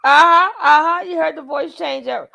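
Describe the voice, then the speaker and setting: A woman's voice: high voice, moderate pace, creaky, bright, forceful tone. A woman co-hosting a reaction video, teasing and playful. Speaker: co-host reacting.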